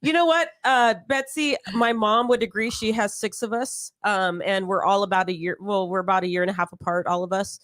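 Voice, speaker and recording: A woman's voice: medium pitch, steady tone, brisk, few pauses, fairly bright tone, no echo. A woman in her thirties with a playful, giggly manner, chatting casually as host of a live talk. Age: 30s. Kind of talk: casual live chat with co-hosts.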